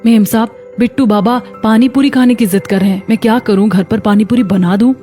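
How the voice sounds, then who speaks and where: A woman's voice: fairly high pitch, fairly quick, dark tone, faint music behind, natural voice. A woman voicing a humble, hardworking young housemaid in a cartoon story, polite and earnest. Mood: polite, coaxing. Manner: polite, earnest, put-upon.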